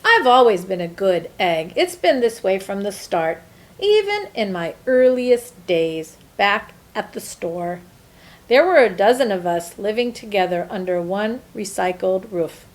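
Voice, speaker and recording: A woman voicing an earnest, eager-to-please good egg in an expressive, emphatic children's storybook telling. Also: medium pitch, measured pace. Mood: earnest, weary. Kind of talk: first-person children's storybook narration.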